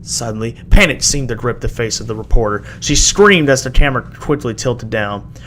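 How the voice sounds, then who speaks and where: A man with a gravelly voice giving a halting, dramatic first-person reading of a horror story as an amateur narrator. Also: mid-range voice, animated, fairly bright tone. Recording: noisy amateur home recording.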